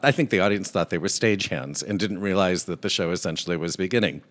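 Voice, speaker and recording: A soft-voiced man co-hosting a talk show, telling an animated anecdote and mimicking the people in it. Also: deep voice, quick pace. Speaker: podcast co-host. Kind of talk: casual storytelling chat.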